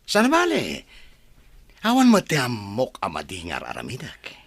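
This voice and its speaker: A man's voice: mid-range voice, huge pitch swings, normal speed, fairly bright tone, no echo. A man voicing a scheming, scornful young man in an audio drama who pays thugs to do his dirty work.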